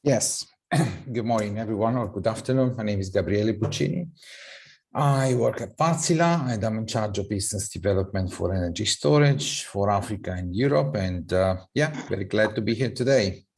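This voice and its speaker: A man's voice: fairly low pitch, normal speed, gravelly, darkish tone. A man politely introducing himself as a business panelist. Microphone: video call.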